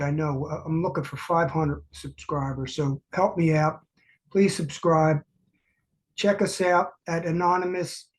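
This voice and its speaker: Male podcast host, stuffy and congested, rambling solo monologue with frequent restarts. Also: a little high. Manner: repetitive, restarting, uneven emphasis. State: congested from allergies.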